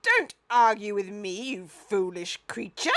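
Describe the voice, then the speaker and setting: A woman voicing a blustering, bossy but kindly old Duke in a children's storybook, loud and imperious. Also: mid-range voice, expressive, unhurried. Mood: bossy, exasperated.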